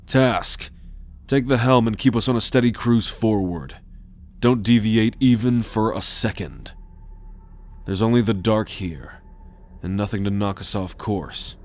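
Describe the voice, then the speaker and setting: A man performs an alien scout captain in a sci-fi ASMR drama. His voice sits low. He gives orders with calm authority and a protective, roguish edge. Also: close to an everyday voice. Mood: commanding. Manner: authoritative, measured, giving orders. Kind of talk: ASMR sci-fi roleplay acting.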